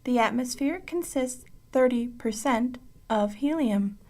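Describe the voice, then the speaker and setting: A woman playing an impassive spaceship computer in a comic radio play, measured and matter-of-fact. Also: fairly high pitch, a little mellow, lightly acted. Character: impassive spaceship computer giving planet data.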